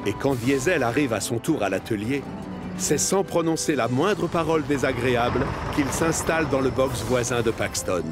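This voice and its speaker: Guy narrating a kids cartoon, mid pitch storyteller, expressive, music in the back. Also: moderate pace. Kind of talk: scripted children's storytelling narration.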